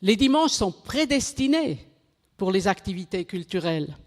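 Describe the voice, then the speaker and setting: A woman giving a conference talk in French, which is not her first language, halting and correcting herself as she goes. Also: mid-range voice, big pitch swings. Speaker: conference speaker. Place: conference hall. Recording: reverberant hall.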